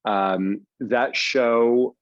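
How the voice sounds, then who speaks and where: A man's voice: mid-range voice, steady tone, extremely slow, no room sound, lo-fi sound. A man from the US East Coast chatting casually as an interview guest, explaining things in a self-deprecating way. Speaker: guest, TV writer and teacher.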